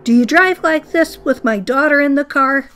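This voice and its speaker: A woman's voice: high voice, normal speed, fairly bright tone, a little hiss or hum. A woman playing an outspoken, fussy grandmother in a radio play, emphatic and bossy. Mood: scolding. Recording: music behind the voice.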